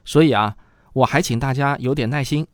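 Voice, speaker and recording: A man's voice: medium pitch, fairly quick, no room sound, studio quality. A man hosting a programme, talking to listeners in a conversational, explanatory way. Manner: conversational, explanatory, rhetorical questions. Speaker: host and producer. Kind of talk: chatty programme outro addressed to listeners.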